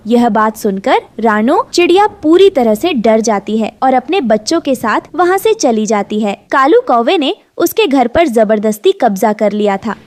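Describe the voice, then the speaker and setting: A woman's voice: fairly high pitch, big pitch swings, normal speed, hardly any pauses, darkish tone, dry recording. A woman narrator reading a scripted children's story.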